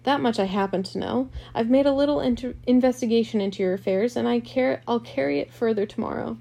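A creaky-voiced, possessive and brutish rich husband in a storybook drama , read by a woman. He is arrogant and quick to anger, blustering out accusations. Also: on the high side, warm tone. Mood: savage contempt. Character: arrogant, hot-tempered wealthy married man, brutish and possessive.

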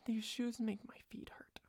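A young woman doing a soft, close-mic whispered girlfriend roleplay, rambling and doting, a little tipsy. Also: fairly high pitch, little pitch movement, slow, breathy edge, no room sound. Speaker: ASMR roleplayer.

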